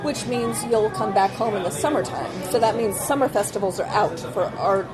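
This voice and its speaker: A woman's voice: medium pitch, normal speed, poor audio. A woman interviewing a musician in a playful, teasing way. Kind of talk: casual interview questions. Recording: crowd noise behind. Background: loud crowd and music.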